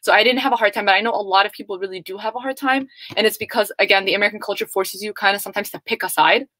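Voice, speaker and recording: A woman's voice: mid-range voice, racing, pushed and bright, dry recording. A young woman whose first language is Somali, speaking earnestly as a panel speaker in long, run-on sentences. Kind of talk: earnest personal reflection.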